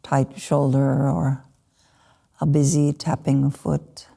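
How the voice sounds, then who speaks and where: A woman with a low, gravelly voice leads a guided meditation. Her tone is dark and mellow, and she speaks as a teacher in short phrases with unhurried pauses. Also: level tone. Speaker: Buddhist meditation teacher (lama).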